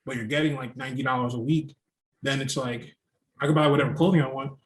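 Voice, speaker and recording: A man's voice: mid-range voice, fairly even intonation, quick pace. A man, a podcast guest telling stories in a rambling way, full of "like". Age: 30s. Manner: storytelling, rambling, with frequent "like" fillers. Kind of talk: casual podcast conversation. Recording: roomy echo.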